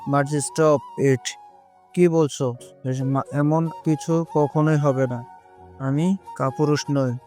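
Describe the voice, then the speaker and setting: An intense, lovestruck young man with a short temper, played by a man. His voice is fairly high but very even, over soft music in a romantic audio drama. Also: slow. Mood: annoyed, intense. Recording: music bed under the voice.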